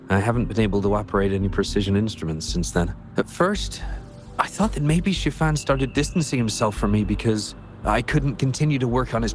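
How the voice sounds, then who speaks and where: A man's voice: lowish voice, lightly acted. A man voicing an earnest, caring young male researcher in a video game. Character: earnest young male researcher worried for an estranged childhood friend.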